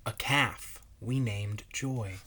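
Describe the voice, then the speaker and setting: A man, a pastor, reading a children's story aloud in a measured, expressive way. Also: on the low side, slight background noise.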